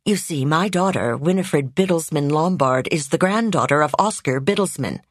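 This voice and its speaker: A woman's voice: low voice, expressive, normal speed, no room sound, clean studio sound. A woman voicing a haughty, condescending rich lady. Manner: haughty, dismissive.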